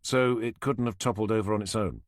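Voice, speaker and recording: A man's voice: on the low side, steady tone, brisk, no echo, clean studio sound, natural voice. A man playing a blunt, exasperated detective. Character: frustrated, blunt male detective.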